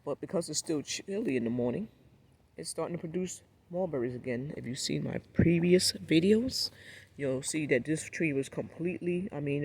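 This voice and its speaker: A man with a raspy, hoarse voice from a cold, giving a laid-back, rambling walkthrough of his backyard garden and talking straight to his viewers. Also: a little high, a little hiss or hum. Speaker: gardening vlogger. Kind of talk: casual walkthrough vlog.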